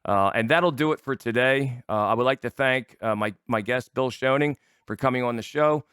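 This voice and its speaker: A man's voice: mid-range voice, moderate pace, a bit bright, smooth, no room sound, studio quality. A middle-aged man casually hosting an interview, effusive and complimentary toward the people he talks with. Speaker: talk show host.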